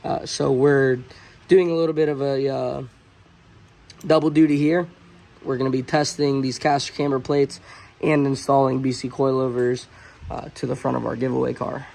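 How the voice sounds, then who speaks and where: A man hosting a car channel, hoarse as he loses his voice, explaining a suspension install in a relaxed, chatty way with filler pauses. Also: mid-range voice. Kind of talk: casual how-to narration. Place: auto shop garage.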